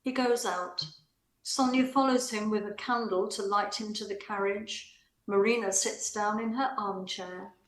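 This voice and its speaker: A woman's voice: medium pitch, fairly even intonation, unhurried. A woman reading out stage directions as scripted narration for a play. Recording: echoey room.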